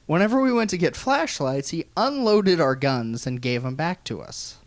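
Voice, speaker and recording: An American man telling a funny personal story in an animated, folksy way with some swearing. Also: a little high, moderate pace, dry recording. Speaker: guest storyteller.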